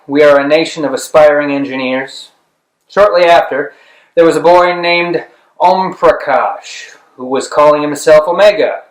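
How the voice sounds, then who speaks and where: A man with a slightly high voice narrates a literary story in a clearly roomy space. He reads expressively and deliberately, leaving dramatic pauses between phrases. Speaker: audiobook reader. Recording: roomy, echoing room sound.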